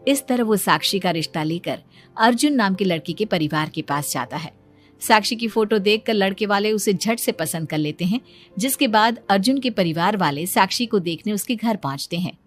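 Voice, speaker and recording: A woman's voice: mid-range voice, animated, normal speed. A woman narrator reading a scripted moral story. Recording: music behind the voice.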